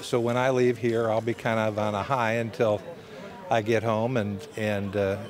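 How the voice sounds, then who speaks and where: A man who serves as a judge talks in a thoughtful, plain-spoken way. His voice sits on the low side, with a clear crowd murmur behind him. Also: level tone, moderate pace. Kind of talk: reflective interview.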